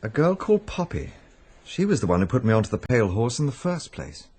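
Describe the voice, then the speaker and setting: An actor with an English accent playing an anxious, urgent amateur sleuth in a radio drama, with a husky voice. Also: male voice, medium pitch, sing-song, a little fast, natural voice. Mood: worried.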